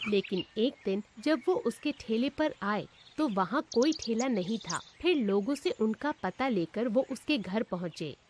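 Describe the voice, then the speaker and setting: A woman's voice: on the high side, animated, unhurried, few pauses, a little mellow, slight background noise. A woman reading scripted narration for a moral story.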